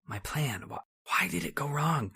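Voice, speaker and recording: A man's voice: a little high, animated, normal speed, bright, forceful tone, husky, exaggerated voice. A man playing a haunted, domineering chemist in radio horror, with broken, repetitive, brooding speech. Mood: haunted, despairing. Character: haunted, obsessive male chemist, domineering and bitter. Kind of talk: old-time radio horror acting.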